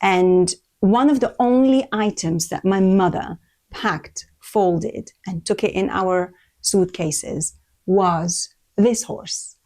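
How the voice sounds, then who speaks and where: A woman's voice: mid-range voice, slow, warm tone. Middle-aged woman presenting, English. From Lebanon and Iraq. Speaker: interior designer, presenter. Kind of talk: informal home tour presentation.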